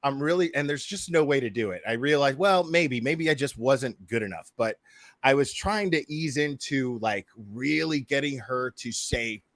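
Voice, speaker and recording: A man's voice: medium pitch, lively intonation, moderate pace, fairly bright tone, no room sound. A man giving casual, sweary reaction commentary on his own prank, repeating phrases for emphasis. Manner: profane, informal, emphatic repetition.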